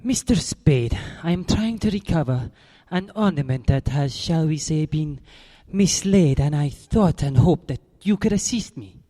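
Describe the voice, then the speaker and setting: An actor playing a smooth, menacing foreign dealer who speaks in a formal, ornate, polite way. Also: male voice, on the high side, animated, measured pace, few pauses, warm tone, in a large hall. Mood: ingratiating.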